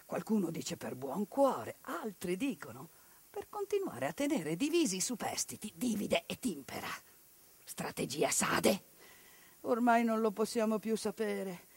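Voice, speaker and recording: A woman delivering an impassioned theatrical monologue, building rhetorical lists and dropping into hushed bitterness. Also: medium pitch, big pitch swings, a little slow, slightly rough, dry recording. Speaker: stage actress.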